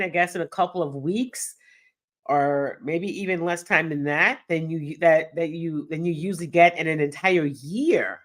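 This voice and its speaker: Nasal, congested woman sports host. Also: lowish voice. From Texas. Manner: upbeat, addresses viewers directly, reads chat aloud. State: nasal from a cold. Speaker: sports talk show host. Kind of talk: live-streamed casual show chat.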